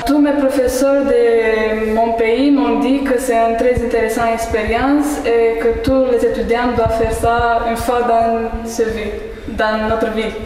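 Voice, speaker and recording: A woman's voice: on the high side, level tone, unhurried, darkish tone, a little hiss or hum. A young woman, an exchange student, speaking careful learner's French. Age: young adult. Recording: echoey hall from far off.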